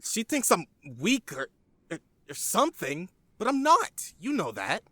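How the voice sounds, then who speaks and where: A man's voice: sits high, huge pitch swings, measured pace, sharp tone, dry recording, heavily acted. A man acting in an audio drama, playing an impulsive, emotional young man. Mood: frustrated. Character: hotheaded young man, restless and defiant.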